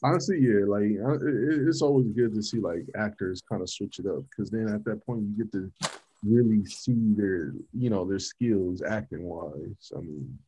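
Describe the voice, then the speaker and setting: A man hosting a casual chat among friends, talking haltingly with many fillers and restarts. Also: lowish voice, dark tone, slight background noise, low-quality recording.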